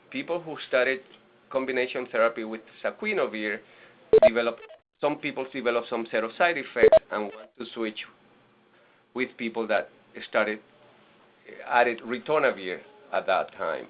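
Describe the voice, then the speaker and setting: A man from Venezuela, a nonprofit director, presenting a webinar with halting restarts and fillers. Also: mid-range voice, noisy background. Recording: lo-fi webinar audio.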